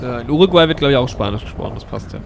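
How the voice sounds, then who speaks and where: A man's voice: medium pitch, expressive, rapid-fire. A man co-hosting a podcast, making casual improvised banter in a small audio play. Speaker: podcast co-host. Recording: clear vehicle-like background noise.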